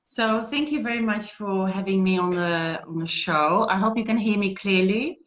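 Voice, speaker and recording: A woman's voice: medium pitch, moderate pace, a bit bright. A woman, a guest on a video call, talking earnestly and rambling with frequent restarts. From Czech Republic. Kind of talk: casual interview talk.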